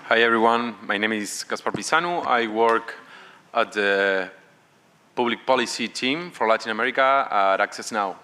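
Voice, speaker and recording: Bright-voiced man speaking on a panel. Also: lowish voice, moderate pace. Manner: hesitant, restarts, fillers. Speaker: panelist, policy staffer. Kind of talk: conference panel talk.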